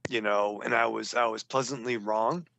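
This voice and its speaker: A man's voice: fairly low pitch, fairly even intonation, moderate pace, gravelly, a bit bright, a little hiss or hum. A man with a New England accent, co-hosting a podcast in rambling, profane, joking banter. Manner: rambling, joking, swears a lot. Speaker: podcast co-host.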